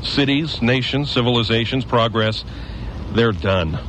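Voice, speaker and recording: An actor playing a hardened former guardsman who survives alone in a ruined town, gruff and matter-of-fact, lecturing with bitter conviction. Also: medium pitch, measured pace, noisy background, lightly acted. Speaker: stranger with a knife. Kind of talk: local radio drama.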